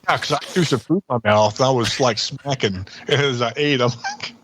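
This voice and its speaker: Casting: male guest, bright edgy voice, blunt and jokey, informal talk. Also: medium pitch, expressive, normal speed, a little hiss or hum. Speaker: guest voice actor. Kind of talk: casual, jokey chat.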